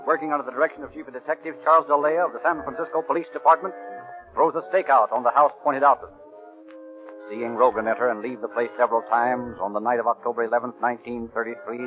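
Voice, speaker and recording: Male announcer: mid-pitched, formal vintage radio delivery, narrow tinny recording, some music behind. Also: steady tone, fast talker. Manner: formal, declamatory. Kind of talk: 1930s radio narration and sponsor announcing. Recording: tinny band-limited 1930s radio recording.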